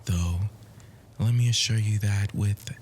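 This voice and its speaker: A man playing a charming, bookish nerd in an ASMR romance roleplay, flirting in formal, poetic phrases with a soft, breathy, often near-whispered voice. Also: on the low side, a little slow, a little hiss or hum, lightly acted. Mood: earnest, romantic. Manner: formal, wordy, gently hesitant flirting.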